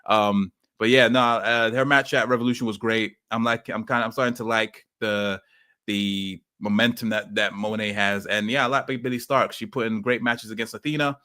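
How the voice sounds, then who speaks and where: A man's voice: on the low side, steady tone, normal speed, sharp tone, clear, resonant tone, no room sound, studio quality. A man co-hosting a casual podcast, rambling with many ums and uhs as he gives his takes. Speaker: podcast co-host. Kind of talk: casual chat.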